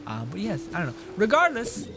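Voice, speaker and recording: A man's voice: high voice, wildly swooping pitch, brisk, loud music behind the voice. Playful, swearing male game streamer. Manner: playful, rambling, swears, laughs a lot. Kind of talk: casual livestream commentary.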